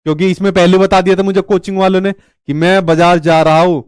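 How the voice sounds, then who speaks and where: A man's voice: sits high, level tone, fast, clear, resonant tone, no room sound, studio quality. A male teacher giving an exam-prep lecture in a chatty way, asking rhetorical questions and telling stories.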